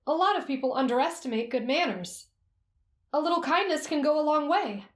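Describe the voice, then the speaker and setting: A woman's voice: high voice, animated, brisk, roomy sound. A woman playing a young etiquette teacher in an ASMR roleplay, instructive and teasing. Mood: warm.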